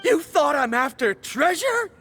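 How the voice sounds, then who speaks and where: A man's voice: very high-pitched, exaggerated voice. A man voicing a proud, volatile, obsessive mineral scholar in a video game, theatrical and formal in manner. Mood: incredulous, manic. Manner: theatrical, swinging from formal lecturing to outbursts. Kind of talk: dramatic video game voice acting.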